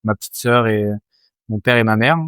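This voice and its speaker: Young man, smooth voice, halting answers. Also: fairly low pitch, flat delivery, a bit bright, dry recording, studio quality. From France. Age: 20s. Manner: hesitant, many fillers and restarts. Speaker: Muay Thai fighter, guest. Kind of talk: casual interview answers.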